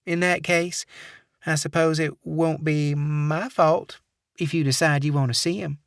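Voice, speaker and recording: Audiobook: male narrator voicing a saccharine Southern lady receptionist in her fifties, sitting high in his range, dry read. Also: fairly even intonation, a little slow, dry recording. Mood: sugary. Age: 50s. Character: sweet chatty middle-aged Southern receptionist woman.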